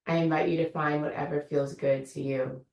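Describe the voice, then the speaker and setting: A low-voiced woman guides a meditation, her words unhurried and gently inviting. Her voice has a gravelly edge. She sounds a little away from the mic, and the room gives it an echo. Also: little pitch movement, far from the mic. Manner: slow, soothing, invitational guidance. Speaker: meditation teacher. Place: room of an online yoga studio.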